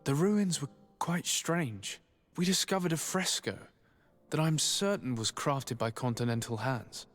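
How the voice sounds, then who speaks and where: An actor playing a formal, diplomatic noble envoy in a video game, speaking in a courteous, measured way. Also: male voice, a little high, lively intonation. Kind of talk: video game cutscene acting. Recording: background music under the voice.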